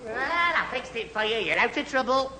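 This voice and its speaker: Daft flustered young fellow, stammering, forceful bright tone, vintage radio sitcom. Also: male voice, very high voice, very animated, moderate pace, heavily acted, rough recording. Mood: pleased. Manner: stammering, dithering. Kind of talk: 1970s British radio sitcom acting. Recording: old radio recording, faint hiss.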